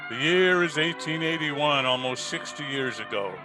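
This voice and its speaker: A man's voice: on the high side, lively intonation, normal speed, over loud music. A man delivering scripted narration as an old-time radio host, formal and theatrical as he presents a play.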